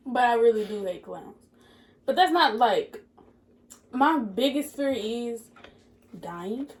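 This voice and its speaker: A woman's voice: on the high side, lively intonation, slow, long pauses. Young woman chatting candidly over food. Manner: candid, laughs often, talks with her mouth full. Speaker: co-host. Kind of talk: casual chat while eating.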